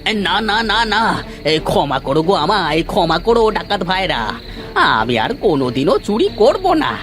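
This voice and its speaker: A man's voice: high voice, big pitch swings, a little slow, full character voice. A man voicing a cowardly, whining petty thief in a cartoon, given to stammering and wailing. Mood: terrified pleading. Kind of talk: Bengali cartoon voice acting. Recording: music behind.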